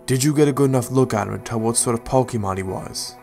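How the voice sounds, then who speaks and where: A man voicing a fair-minded, reassuring Pokémon who leads an investigation in a fan audio drama, with a gravelly voice. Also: medium pitch, fast, darkish tone, faint music behind, close to an everyday voice. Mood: grim.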